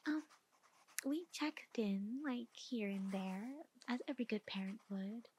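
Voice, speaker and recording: A woman playing a flustered, defensive mother of a missing daughter, speaking softly and hesitantly in an ASMR roleplay. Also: medium pitch, a little slow, a little hiss or hum, close to an everyday voice. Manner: hesitant, emotional, rambling excuses. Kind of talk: ASMR roleplay, soft-spoken.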